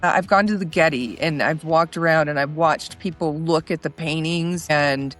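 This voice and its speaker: A woman's voice: low voice, moderate pace, faint music behind. A woman podcast host chatting casually and telling anecdotes in a conversational interview.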